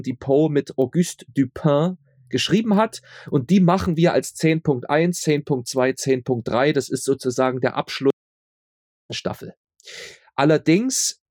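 A man's voice: medium pitch, darkish tone, no echo, studio quality. A young man who works as an audio drama maker, chatting casually in an interview and often repeating his words for emphasis. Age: young adult.

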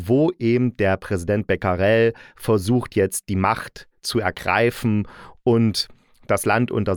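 A man's voice: fairly low pitch, dry recording. A man hosting a chatty review podcast in a jokey, self-ironic manner, close to the microphone. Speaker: podcast host and audio drama critic.

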